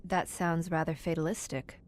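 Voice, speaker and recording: A woman's voice: sits low, fairly even intonation, moderate pace, close to an everyday voice. An actress playing an inquisitive, earnest young woman visitor in an audio horror drama, with proper, careful phrasing. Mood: curious, concerned.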